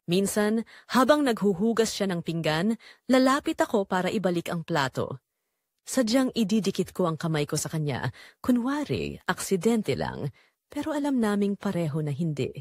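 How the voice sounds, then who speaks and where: A woman's voice: mid-range voice, darkish tone, no echo, clean studio sound. A woman with a Filipino accent reading a scripted first-person story as the narrator of an audio drama.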